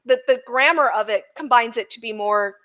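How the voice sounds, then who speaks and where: A woman's voice: fairly high pitch, moderate pace, a bit bright, highs cut off. A woman chatting casually as a podcast panelist, explaining things in a wry, giggly way. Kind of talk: casual roundtable chat.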